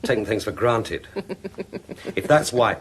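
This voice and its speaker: An actor playing a distraught, wounded but loving husband in a radio drama, with an intense, pleading manner. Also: male voice, fairly low pitch, animated, unhurried, slight background noise. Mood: hurt, restrained. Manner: intense, pleading, swinging from hushed to loud.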